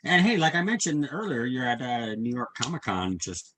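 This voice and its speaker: A man's voice: mid-range voice, sing-song, normal speed, a bit bright, poor audio. A man interviewing a guest in a casual, agreeable and encouraging way over a video call. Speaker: interviewer, host.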